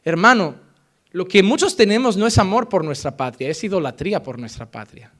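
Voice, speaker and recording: A man from Spain preaching a sermon with a Spain Spanish accent, switching between forceful emphasis and quiet, earnest passages. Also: high voice, animated, a little fast.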